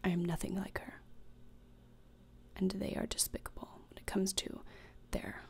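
A young woman acts out a gloomy teenage schoolgirl who relishes torture, in an ASMR roleplay. Her voice is a breathy whisper with a strong creak in it. She is deadpan and cutting, placing each phrase deliberately right at the microphone. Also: medium pitch, lightly acted. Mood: cold contempt. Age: young adult. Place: small indoor room, plain backdrop. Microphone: close desktop microphone.

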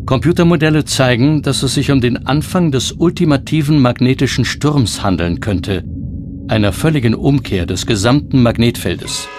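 A man's voice: mid-range voice, normal speed, background noise. A man delivering scripted narration for a documentary.

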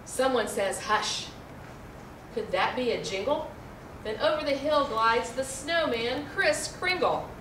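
A middle-aged American woman reads a rhyming children's picture book aloud in an expressive, carefully enunciated way. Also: fairly high pitch, slow. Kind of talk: children's storybook read-aloud. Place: indoor room, seated before a backdrop. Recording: roomy, distant mic, faint noise.

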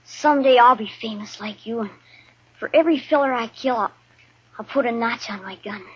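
A woman's voice: on the high side, fairly quick, a little hiss or hum, close to an everyday voice. A woman playing an eager, boastful young runaway boy in a 1950s radio western, with a rustic drawl and folksy grammar. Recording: dull vintage radio recording.

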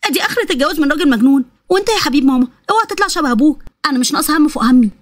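A woman's voice: high voice, animated, rapid-fire, no echo, clean studio sound. A woman voicing an anxious, exasperated young pregnant wife with an Egyptian accent, emotional and complaining. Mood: fed up. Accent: Egyptian Arabic.

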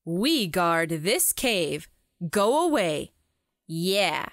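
A woman voicing a gruff, menacing cave-guarding monster with a grumbling, imperious manner in a children's comic. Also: fairly low pitch, sing-song, extremely slow, dry recording, clean studio sound, full character voice.

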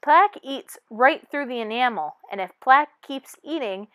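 High-voiced woman reading a children's book. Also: animated, moderate pace, fairly bright tone, no echo. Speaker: storybook reader. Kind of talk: read-aloud of a children's picture book.

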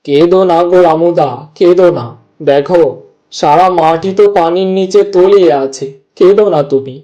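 A man voicing a steady, practical farmer neighbour in a cartoon, consoling and reasoning, on a muffled recording. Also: high-pitched, normal speed, lightly acted. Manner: soothing, reasoning. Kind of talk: cartoon voice acting.

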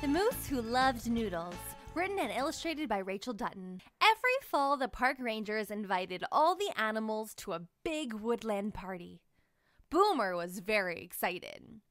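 A woman reading a children's picture book aloud in an expressive, animated storytelling manner. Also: fairly high pitch, normal speed, no room sound, soft background music. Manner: animated storytelling, sing-song. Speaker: read-aloud narrator.

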